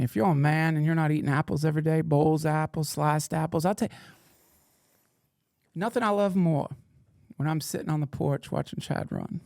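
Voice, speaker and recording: A middle-aged man hosting a comedic stream, riffing in a mock-indignant persona that swings from whispers to shouts. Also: a little high, gravelly, dry recording, clean studio sound. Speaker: podcast host reading chat questions.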